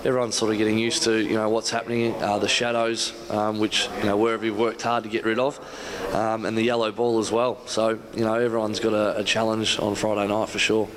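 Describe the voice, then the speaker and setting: An Australian man in his late twenties answers interview questions casually, as a player-coach would. His voice is fairly low and very flat in pitch, with people audible in the background. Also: a little fast, crowd noise. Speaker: footballer and playing assistant coach.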